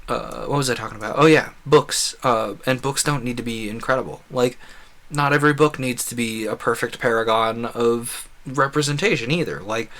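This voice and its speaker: A man with a bright, forceful voice talks as a livestream host in a casual, rambling way. He is earnest and opinionated, often slipping into imagined quotes to make a point. His pitch sits in an average male range. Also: moderate pace.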